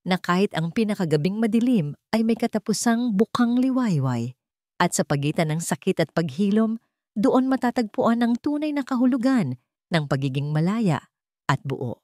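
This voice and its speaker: A woman with a Filipino accent narrates a scripted dramatic story in a measured, sentimental way. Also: lowish voice, darkish tone, no echo, clean studio sound.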